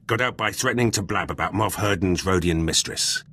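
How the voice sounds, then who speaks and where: An actor playing a blunt, battle-hungry special forces soldier in a video game, gruff and husky, speaking in clipped, terse sentences. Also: male voice, low voice, brisk, bright, forceful tone, exaggerated voice. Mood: blunt, disgruntled. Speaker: soldier companion character. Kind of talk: video game dialogue acting. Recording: music under the voice.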